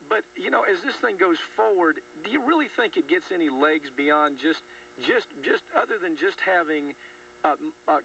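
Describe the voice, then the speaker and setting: Brief: male talk radio host, fairly high, bright voice, indignant, restarts a lot, muffled distant radio replay. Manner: indignant, rambling with frequent restarts. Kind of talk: political talk radio discussion. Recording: distant, muffled radio rebroadcast with faint hum.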